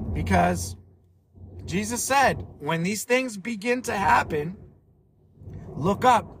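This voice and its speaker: Slow, earnest higher-voiced man. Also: fairly bright tone, a little hiss or hum. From Colombia, raised in America. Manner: earnest, repetitive preacherly emphasis. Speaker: podcast host.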